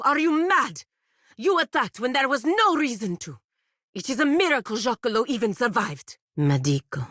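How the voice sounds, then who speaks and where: An actress playing a proud, stern, loyal warrior envoy in a fantasy video game, speaking in a formal, deliberate, earnest manner. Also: female voice, mid-range voice, no echo, studio quality. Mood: furious, then subdued, grateful. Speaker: game character voice actor. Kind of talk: scripted fantasy game dialogue.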